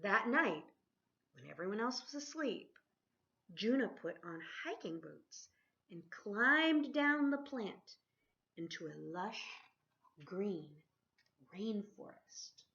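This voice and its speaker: Female storybook reader, teacherly and animated, engages listeners with questions; lively pitch, slightly muffled lo-fi recording. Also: takes it slowly, gravelly. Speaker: teacher reading a picture book aloud. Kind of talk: expressive children's storybook read-aloud. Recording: dull lo-fi sound.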